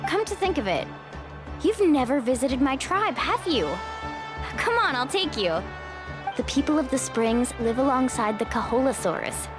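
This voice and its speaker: A confident young warrior guide in a game. She sounds high and animated, friendly and reassuring, with music behind her. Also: moderate pace. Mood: reassuring, upbeat. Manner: casual, reassuring. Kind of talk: game voice acting.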